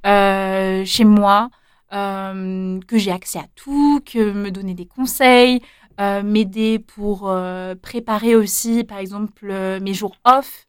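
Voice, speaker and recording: A woman's voice: mid-range voice, steady tone, slow pace, clear, resonant tone, dry recording, clean studio sound. A young woman answering interview questions casually, hesitant, with many fillers and restarts. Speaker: interviewee, former volunteer.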